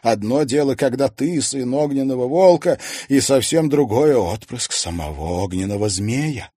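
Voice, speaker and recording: A man's voice: medium pitch, lively intonation, normal speed, warm tone, slightly rough, dry recording, studio quality, voice pushed up. A man voicing a vain, evasive middle-aged actress mother in an audiobook, dramatic and defensive. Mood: anxious, confessional.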